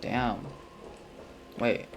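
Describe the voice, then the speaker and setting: A man's voice: on the low side, lively intonation, very slow, creaky. A young man casually reacting to a game as a streamer, slangy and sweary, with muttered asides. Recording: loud game music behind the voice. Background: game music.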